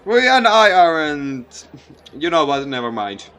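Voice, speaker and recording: A man voicing an earnest, easily flustered teenage schoolboy, the protagonist of a visual novel, in a halting manner. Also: on the high side, big pitch swings, fairly bright tone, exaggerated voice.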